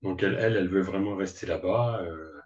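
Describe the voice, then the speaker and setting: A man with a very deep voice chats hesitantly during an online meeting. His audio comes through dull and lo-fi, and he sounds set back from the mic. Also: quick pace. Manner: hesitant, with fillers. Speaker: participant. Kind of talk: casual chat on a video call. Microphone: video call. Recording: distant, dull lo-fi call audio.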